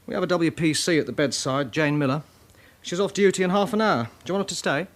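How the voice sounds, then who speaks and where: A man's voice: a little high, quick pace, natural voice. An actor playing a busy male police station officer in a radio drama, practical and a little grudging. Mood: businesslike.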